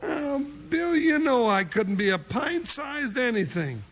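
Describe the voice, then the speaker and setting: A man's voice: very high-pitched, very animated, fairly bright tone, exaggerated voice. A man playing a big, folksy, blustering comic cowboy sidekick in a 1950s radio western. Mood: chatty. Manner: folksy, blustering, drawn-out exclamations. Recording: noisy old 1950s radio recording.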